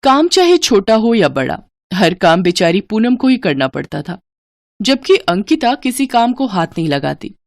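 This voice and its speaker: Lively woman storyteller, dry recording. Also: mid-range voice, moderate pace, no room sound. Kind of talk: scripted children's story narration.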